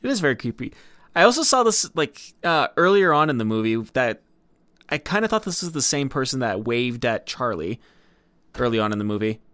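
Male podcast co-host, mid-pitched and animated, hedges his points in casual film talk, very dry recording. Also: brisk, no room sound.

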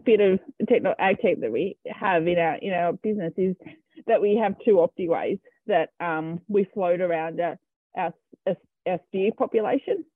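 A man's voice: high-pitched, moderate pace, no echo. An Australian man, a cattle farmer, answering interview questions in a hesitant way with many ums and restarts. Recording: tinny phone-line sound, dry.

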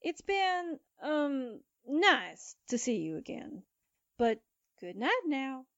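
A woman's voice: high voice, sing-song, takes it slowly, a bit bright, no room sound, highs cut off, exaggerated voice. An actress playing a sassy young newspaperwoman in a 1940s-style radio drama, with slangy, streetwise delivery. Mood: dismissive, sassy. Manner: wisecracking, streetwise, brash.

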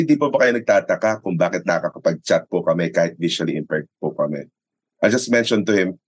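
Deep-voiced Filipino man, casually telling stories. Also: dry recording, low-quality recording. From Philippines. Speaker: sports announcer and radio commentator, interview guest. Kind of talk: casual conversational storytelling, mixing Tagalog and English.

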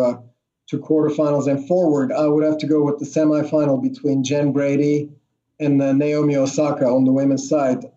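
A man's voice: fairly high pitch, dark tone, highs cut off. A man from Turkey, a tennis coach and writer, talking as a podcast guest in a thoughtful way, often restarting his phrases. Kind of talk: podcast conversation.

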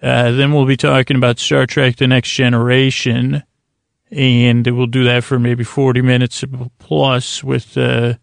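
A man with a creaky, gravelly voice at an ordinary male pitch hosts a bedtime podcast. He wanders in a halting, lulling way, doubling back on his own sentences with silly deadpan asides. The sound is dry and close, as if recorded in a small closet. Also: no echo. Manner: meandering, halting, self-interrupting, goofy deadpan; lulling, soothing. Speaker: podcast host. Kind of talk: rambling, whimsical bedtime podcast monologue.

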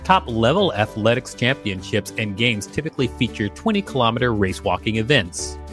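Low-voiced man reading aloud. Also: big pitch swings, moderate pace, fairly bright tone, faint music behind. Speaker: podcast co-host. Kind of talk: reading an article aloud with casual commentary.

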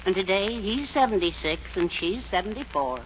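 A man's voice: high-pitched, animated, normal speed. An actor playing a genial, wry, middle-aged fatherly American man in a 1940s radio comedy. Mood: wry, advising. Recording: narrow-band old radio recording, faint noise.

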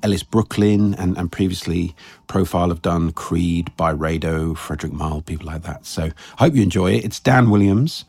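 A man's voice: low voice, normal speed, darkish tone, breathy edge, dry recording. A man hosting an interview podcast, asking friendly questions with lots of fillers. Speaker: podcast host. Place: guest's office.

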